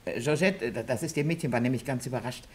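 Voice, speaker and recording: An actress playing an obliging, gossipy, slightly hesitant apartment concierge in a radio crime drama, with slight background noise. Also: female voice, a bass voice, quick pace. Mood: obliging, chatty. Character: chatty, hospitable apartment building concierge.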